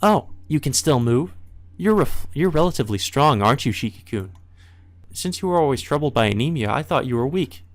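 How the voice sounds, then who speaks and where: A man's voice: medium pitch, lively intonation, a little fast, no room sound, voice pushed up, close to an everyday voice. A man voicing a teenage vampire girl from a visual novel, pleasant and delighted while sweetly menacing. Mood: playful menace. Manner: pleasant, delighted delivery of grim words. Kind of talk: visual novel character reading.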